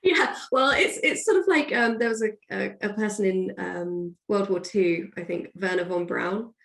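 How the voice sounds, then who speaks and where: A woman's voice: medium pitch, moderate pace, vocal fry, a bit bright. A woman author being interviewed, chatting casually and thinking aloud in a discursive way. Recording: roomy, echoey sound.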